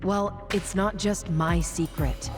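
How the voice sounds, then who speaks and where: A woman's voice: lowish voice, fairly even intonation, slow pace, music in the background. An actress playing a cool, ruthless female crime boss in an English game dub, curt and commanding. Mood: stern, commanding. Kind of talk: English video game voice acting.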